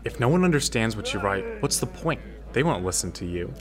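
A man voicing a sullen, reluctant teenage boy in a radio drama, giving short, flat answers. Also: fairly low pitch, fairly quick.

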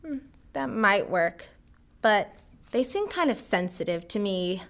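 A woman's voice: mid-range voice, sing-song, unhurried, a bit bright. A woman playing a verbose, idealistic teenage girl student who chatters and says "like" a lot. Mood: eager. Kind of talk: amateur radio drama acting.

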